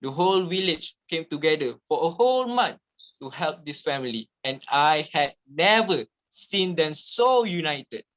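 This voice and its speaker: A man narrating a story as a scripted dramatic reading, with theatrical, deliberate emphasis. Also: a little high, big pitch swings, fairly bright tone, poor audio.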